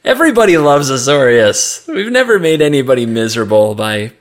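A man's voice: mid-range voice, animated, a little fast. A man co-hosting a casual discussion show about a card game, talking in a wry, joking way. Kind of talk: casual chat and game commentary.

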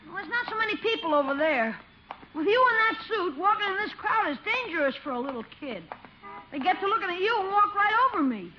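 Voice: very high voice, expressive, fairly quick, over music. An actor playing a brash, teasing young nephew, a little boy full of slangy wisecracks, in a 1950s radio comedy. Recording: dull, noisy old radio recording.

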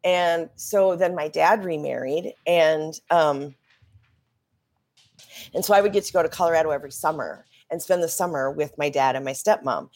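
A woman's voice: sits low, normal speed, darkish tone. A woman from Minnesota in her forties, a podcast guest who speaks frankly and openly and often laughs lightly between remarks. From Minnesota, USA. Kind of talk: casual interview conversation.

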